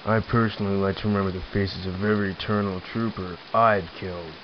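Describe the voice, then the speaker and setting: Man playing a low-voiced, callous, cynical soldier. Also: fairly even intonation, fast, lightly acted. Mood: smirking. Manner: blunt, sardonic. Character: callous, cynical, mocking soldier hardened by war. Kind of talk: audio drama acting. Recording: muffled, noisy with rain-like hiss.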